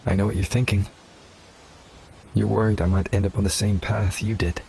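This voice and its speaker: Low-voiced, steadfast companion. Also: male voice, level tone, moderate pace, creaky, darkish tone, a little hiss or hum. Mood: tender, reassuring. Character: steadfast, reassuring male companion. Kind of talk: video game character acting.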